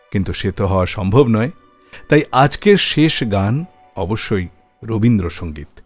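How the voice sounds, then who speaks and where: A male radio host reads a scripted music show. His intonation is highly animated, rising and dropping often. The studio sound is close and dry, with faint music underneath. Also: dry recording. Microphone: close studio microphone.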